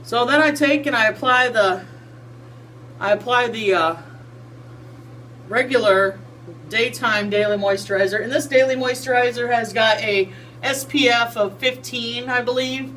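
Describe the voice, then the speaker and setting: A woman's voice: on the high side, a little slow, a bit bright, a little hiss or hum, poor audio. A woman in her early forties chatting casually through a skincare routine in a small home bathroom, folksy and full of fillers, on a close built-in camera mic. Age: 40s. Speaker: skincare vlogger. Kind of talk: casual how-to chat.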